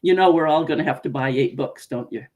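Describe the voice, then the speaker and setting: A woman's voice: sits low, fast talker, darkish tone. A woman from Canada hosting a casual interview over a video call, chatty and laughing often. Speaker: podcast host.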